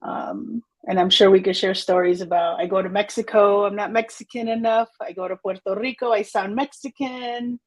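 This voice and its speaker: Husky-voiced upbeat woman host. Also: mid-range voice, normal speed, few pauses. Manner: upbeat, slangy and animated, laughs easily. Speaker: interview host. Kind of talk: casual interview conversation.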